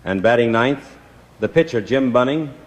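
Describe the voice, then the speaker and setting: A man doing baseball play-by-play commentary as the announcer, in measured, clipped phrases. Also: medium pitch, expressive.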